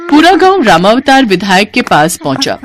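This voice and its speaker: A woman's voice: mid-range voice, big pitch swings, moderate pace. A woman reading scripted narration for a moral bedtime story.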